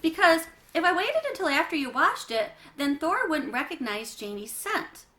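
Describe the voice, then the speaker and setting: An earnest young storybook boy, played by a woman reading aloud. The voice is lifted and bright, with a literal-minded, matter-of-fact way of explaining and correcting. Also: sits high, sing-song, moderate pace, pitched above the performer's own voice, exaggerated voice.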